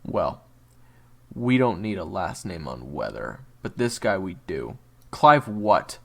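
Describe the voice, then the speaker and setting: Audio drama casting: man playing sarcastic young monster hunter, brash and slangy, creaky edge, lively swings in pitch. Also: slow pace, heavily acted. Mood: impatient, sarcastic. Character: brash, crude, cocky young male monster-hunting sword saint. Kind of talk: audio roleplay acting.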